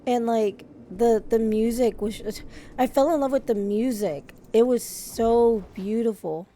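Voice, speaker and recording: Slightly hoarse chatty woman streamer. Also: fairly high pitch, unhurried, warm tone, a little hiss or hum. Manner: chatty, effusive, with frequent restarts. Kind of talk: casual live-stream chat.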